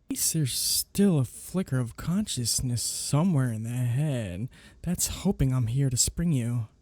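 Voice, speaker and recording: A man acts out a predatory male vampire in an ASMR roleplay, speaking in a soft, mellow and breathy voice. His delivery swings expressively as he taunts and flirts, lingering on words with smug amusement. The sound is dry and intimate. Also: mid-range voice, animated, measured pace, no room sound. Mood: cool, smug. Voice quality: husky, breathy.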